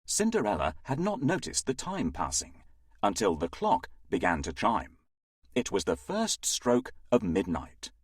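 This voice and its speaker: A man reading a scripted children's fairytale as an expressive, dramatic storyteller. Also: lowish voice, breathy edge, no echo, studio quality.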